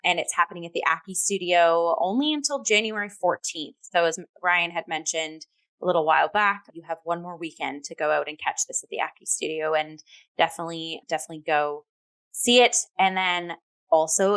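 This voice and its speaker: A woman co-hosting a theatre review show, talking casually in an enthusiastic, expressive way. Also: on the low side, rough recording. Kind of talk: casual chatty review talk.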